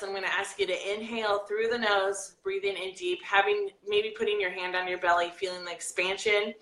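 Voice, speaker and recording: A woman with a bright, forceful voice coaches her viewers live on camera. She talks in a lively way and reads comments aloud, then slows into a soft guided breathing exercise. Her voice rings a little in an echoey room. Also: medium pitch. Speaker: life coach hosting a live video.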